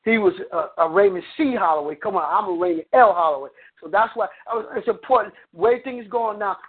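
A man's voice: high voice, brisk, breathy edge. A man, the guest preacher, telling a story in his sermon and breaking it up with "come on, amen" as he goes. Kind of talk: preaching by phone conference. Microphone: phone. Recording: tinny phone line.

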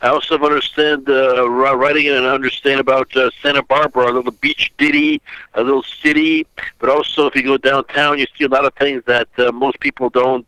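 A man's voice: medium pitch, flat delivery, brisk, bright, forceful tone, smooth, no room sound, poor audio. An older man from Austria, a guest author on an internet call, talking in a rambling way with many fillers and restarts. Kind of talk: phone interview. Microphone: internet call audio.

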